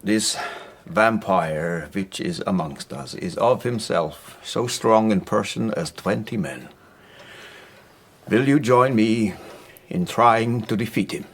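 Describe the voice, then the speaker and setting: Commanding professor of monster lore: husky, very slow, solemn, for radio horror drama. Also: male voice, on the low side, full character voice. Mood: solemn, rallying. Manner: deliberate, solemn. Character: solemn, commanding male professor leading a vampire hunt.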